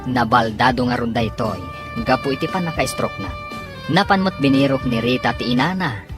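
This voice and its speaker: Very low-voiced, theatrical woman announcer. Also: animated, moderate pace. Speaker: radio drama announcer and narrator. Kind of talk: scripted dramatic narration. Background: music.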